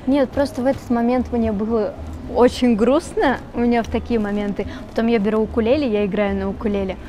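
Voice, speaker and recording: A young woman singer answering interview questions in a relaxed, chatty way, with many fillers. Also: fairly high pitch, fast talker. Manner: relaxed, chatty, switches between Russian and Tatar, many fillers. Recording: noisy background with traffic.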